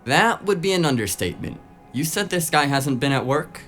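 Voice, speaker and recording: A man's voice: fairly high pitch, big pitch swings, moderate pace, a bit bright, a little hiss or hum. A man playing a brash, foul-mouthed, teasing tech sidekick in a noir audio drama, snarky and colloquial. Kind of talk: noir audio drama acting, casual banter.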